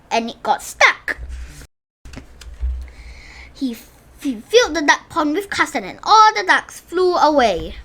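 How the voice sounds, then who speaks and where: Animated woman reading a children's story. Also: high-pitched. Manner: animated, emphatic, exclaiming with playful emphasis. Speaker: storybook reader. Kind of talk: children's read-aloud storytelling.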